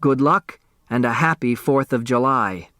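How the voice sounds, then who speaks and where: A man's voice: medium pitch, measured pace, sharp tone, no room sound, studio quality. Man putting on a grandiose, teasing rich eccentric. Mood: mocking, grand. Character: eccentric, mocking rich old man dictating his will.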